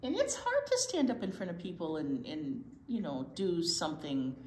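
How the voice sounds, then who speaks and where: An older woman reads a picture book to children with asides, expressive and often dropping to a hushed near-whisper. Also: on the low side, normal speed. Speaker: children's library storytime reader. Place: indoor children's library storytime corner. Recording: roomy echo, faint background noise.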